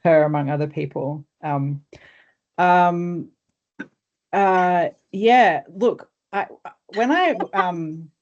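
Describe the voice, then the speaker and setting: Woman academic on a zoom call, low voice, kinda muffled audio, lots of ums. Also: extremely slow. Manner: thoughtful and hesitant, many fillers and restarts. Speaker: guest speaker, academic. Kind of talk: webinar discussion. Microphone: video call. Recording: dull video call audio.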